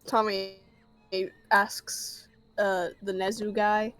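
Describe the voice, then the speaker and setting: A woman's voice: medium pitch, level tone, extremely slow, fairly bright tone. A woman player chatting casually during a tabletop role-playing game, speaking for her character.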